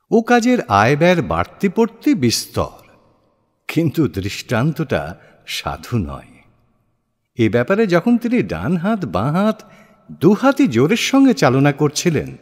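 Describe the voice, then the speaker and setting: A man narrating a literary audio story in a measured, expressive, deliberate way with pauses. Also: mid-range voice. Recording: long hall-like reverb.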